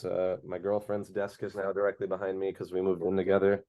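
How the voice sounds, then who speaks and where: A man co-hosting a podcast, his voice hoarse from screaming, chatting in a laid-back, slangy, rambling way from his bedroom at home. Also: deep voice, monotone, brisk, warm tone, dry recording. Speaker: podcast co-host. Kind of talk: casual podcast chat.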